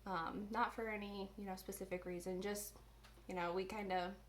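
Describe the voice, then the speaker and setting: A woman in her twenties from the US Midwest, chatting casually on her vlog and filling her pauses with ums. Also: mid-range voice, steady tone, a crowd in the background. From Indiana, USA. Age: 20s. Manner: chatty, many ums and restarts. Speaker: vlogger. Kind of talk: casual chat.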